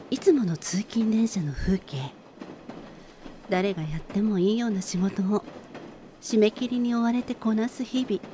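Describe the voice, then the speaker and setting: A woman playing a weary office worker who narrates memories of her schooldays softly and wistfully in a radio drama. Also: medium pitch, slight background noise, lightly acted. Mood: weary, downcast.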